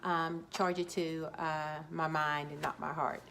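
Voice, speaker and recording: Fortysomething woman, kinda low voice, upbeat chatty vlog talk, stumbles on words a bit. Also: flat delivery, a little slow, vocal fry, a bit bright, a little hiss or hum. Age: 40s. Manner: chatty, upbeat, restarts words when nervous. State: a little nervous. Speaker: children's book author. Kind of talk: casual talk to camera.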